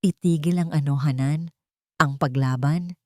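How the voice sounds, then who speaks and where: A woman's voice: deep voice, a little slow, dry recording, clean studio sound. A woman voicing a stern, proud older father in an audiobook drama, speaking firmly and reproachfully. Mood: firm indignation. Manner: firm, emphatic, reproachful.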